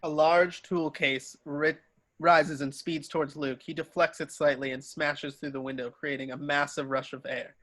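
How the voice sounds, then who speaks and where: A man's voice: a little high, little pitch movement, normal speed, fairly bright tone, no echo. A man narrating scripted stage directions for a table read.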